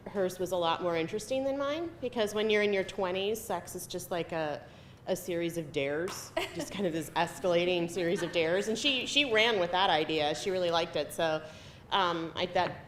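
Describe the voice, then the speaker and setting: A woman screenwriter gives informal remarks, halting and amused. Her voice is fairly low but bright and forceful, with a faint rumble behind. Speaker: screenwriter speaking about the script. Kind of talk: informal spoken remarks at a live event. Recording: rumbly background noise.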